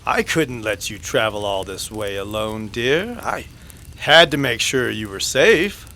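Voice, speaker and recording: A creepy, drawling western villain. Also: male voice, on the low side, lively intonation, sharp tone, exaggerated voice. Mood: oily, menacing. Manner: slow, drawn-out, cloying, theatrical. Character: creepy, possessive older male saloon regular stalking a woman. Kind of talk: old-time western radio drama acting.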